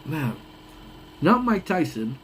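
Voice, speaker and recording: A young man with a slightly higher voice that sounds congested. He rambles through a casual live chat, laughs often and trails off mid-thought. There is a faint hum of ambience behind him. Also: sing-song, slow pace, a bit bright, poor audio. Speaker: live stream host.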